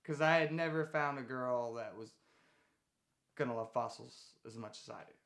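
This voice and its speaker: Casual man with a mid-pitched voice. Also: normal speed, gravelly, fairly bright tone. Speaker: fossil hunter. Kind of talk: casual documentary interview.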